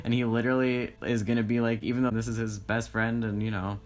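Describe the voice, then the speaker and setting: A man's voice: medium pitch, level tone, fast talker. A man reading a story aloud as voiceover, with informal, sweary and joking asides of commentary. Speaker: voiceover reader. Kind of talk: story narration with casual commentary. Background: music.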